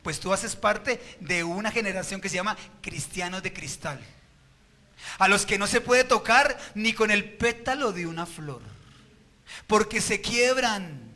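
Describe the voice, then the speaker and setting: A man's voice: high-pitched, animated, unhurried, pushed and bright. A Colombian man preaching a sermon as pastor at a church service, firing rhetorical questions at the congregation.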